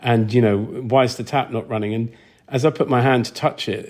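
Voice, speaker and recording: A man's voice: lowish voice, a little mellow. A man talking casually as an interview guest, rambling through stories and acting out reactions. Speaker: interview guest, sound designer. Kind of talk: casual anecdotal interview talk.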